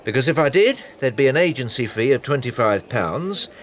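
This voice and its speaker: An actor playing a chatty British private detective in a naturalistic radio drama, wry and anecdotal. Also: male voice, medium pitch, lively intonation, brisk, lightly acted. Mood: matter-of-fact.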